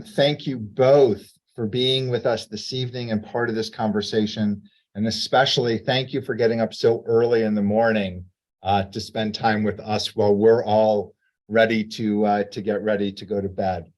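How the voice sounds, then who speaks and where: A man as president and moderator, opening a virtual panel in a measured, welcoming way with some fillers, heard over a video call. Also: lowish voice, a little mellow.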